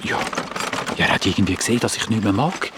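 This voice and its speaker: A man's voice: on the low side, lively intonation, quick pace, background noise, lightly acted. A man with a Swiss German accent voicing a young adventurer who rambles and repeats words as he explains things. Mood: explaining. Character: Sämi, a curious young cart-riding adventurer.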